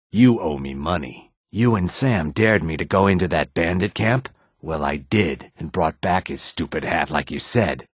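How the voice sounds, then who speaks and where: A man voicing a brash, mercenary lizard-man in a video game, with a gruff, raspy, swaggering delivery. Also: a bass voice, dry recording, clean studio sound, heavily acted. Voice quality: husky, raspy. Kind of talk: video game character dialogue.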